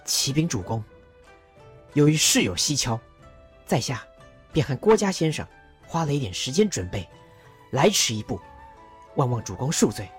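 A woman voicing a deferential, scholarly male strategist who explains things in a formal, measured way. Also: extremely low pitch, big pitch swings, slightly rough, soft background music, heavily acted.

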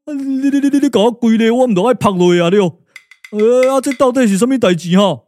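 High, folksy Taiwanese comic warrior. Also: male voice, quick pace. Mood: flustered. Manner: blunt, excitable, colloquial. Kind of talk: Taiwanese glove-puppet radio drama acting.

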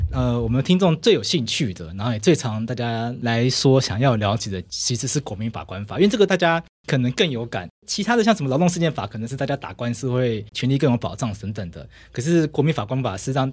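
A man's voice: mid-range voice, animated, fast talker, few pauses, breathy edge, dry recording. A man with a Taiwanese Mandarin accent hosting a conversational podcast interview, explaining things in a chatty, wordy way. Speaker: podcast host.

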